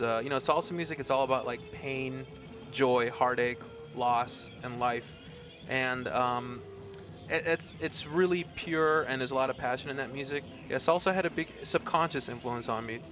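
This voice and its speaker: Thoughtful singer over club music. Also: male voice, mid-range voice. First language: Spanish. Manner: thoughtful, searching for words with many ums. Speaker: singer and guitarist. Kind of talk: casual interview answers.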